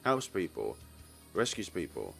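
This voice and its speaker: A man's voice: low-pitched, huge pitch swings, measured pace, vocal fry, fairly bright tone, faint music behind. A man reading a visual novel's story aloud as a let's player. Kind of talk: reading narration and character lines aloud.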